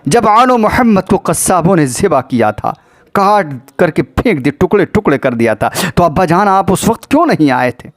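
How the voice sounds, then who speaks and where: A man's voice: sits high, sing-song. Man voicing an anguished, pleading bereaved sister. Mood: anguished reproach. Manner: anguished, repetitive, reproachful pleading. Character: grief-stricken pleading sister guarding her slain brother.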